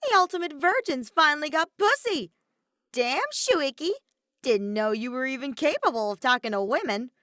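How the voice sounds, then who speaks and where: A woman voicing a crude, loud teenage girl who teases in a brash, taunting way. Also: high voice, animated, normal speed, dry recording, studio quality, voice pushed up. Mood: gleeful mockery.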